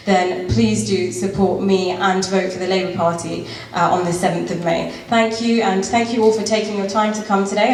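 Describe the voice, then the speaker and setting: A woman's voice: medium pitch, slight background noise. A woman candidate making an earnest appeal for votes at a local debate. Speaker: election candidate. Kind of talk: campaign speech at a debate. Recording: roomy hall, distant mic.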